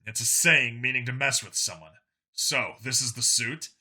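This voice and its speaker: Man acting a blunt, forceful cop. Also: mid-range voice, moderate pace, breathy edge. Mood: wary, irritated. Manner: blunt, insistent. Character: blunt human policeman among aliens, forceful and sardonic.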